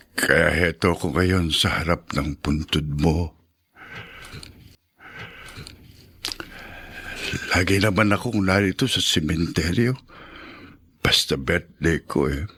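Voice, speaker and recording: A man's voice: low voice, bright, forceful tone, husky, full character voice. An actor playing a patient, tender seventy-year-old widowed father in a Filipino radio drama. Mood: grieving.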